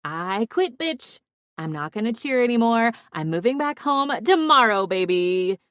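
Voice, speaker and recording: A woman's voice: a little high, very animated, unhurried, a bit bright, no room sound. A woman performs a tipsy young ex-cheerleader in an audiobook. She is brash, sweary and dramatic, rambling into a phone in a giggly way. The sound is close and dry. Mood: drunk gushing.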